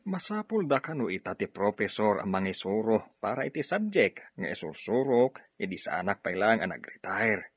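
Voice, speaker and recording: A man acting a gentle middle-aged father and professor in Ilocano radio drama, with an Ilocano accent. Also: fairly low pitch, big pitch swings, normal speed, breathy edge, no echo, lo-fi sound. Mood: gentle, reflective. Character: fatherly retired professor and farm owner, gentle.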